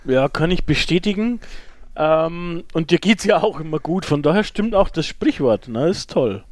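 A man's voice: a little high, lively intonation, normal speed, no echo. A middle-aged man co-hosting a podcast, chatting casually in a joking, playful way. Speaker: podcast co-host. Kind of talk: casual chat.

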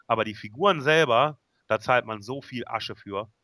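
A man's voice: medium pitch, moderate pace, a loud crowd. A man hosting a casual podcast chat, talking conversationally and often checking for agreement. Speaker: podcast host.

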